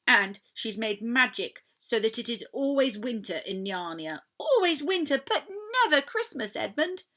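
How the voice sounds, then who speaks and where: A woman voicing a happy, trusting little girl in a storybook, earnest and excitable. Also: mid-range voice.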